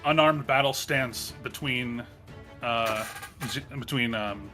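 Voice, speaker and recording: A man playing a tabletop game, chatting casually in an animated, self-deprecating way with some swearing. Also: mid-range voice, takes it slowly. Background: background music.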